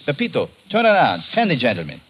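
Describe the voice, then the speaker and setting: Imperious plantation owner, noisy old recording. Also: male voice, medium pitch, wildly swooping pitch, fast talker, lo-fi sound. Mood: grumbling, imperious. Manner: florid formal courtesy, bossy to servant. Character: fat, indolent plantation owner, courtly and imperious. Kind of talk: old-time radio drama acting. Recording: noisy vintage radio recording.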